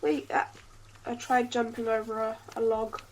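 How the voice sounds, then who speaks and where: A woman's voice: a little high, moderate pace, a little hiss or hum, low-quality recording. A woman with a cold, chatting playfully while co-hosting a video game playthrough at home. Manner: playful, excitable. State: has a cold. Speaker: gaming co-host. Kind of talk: casual let's-play chat.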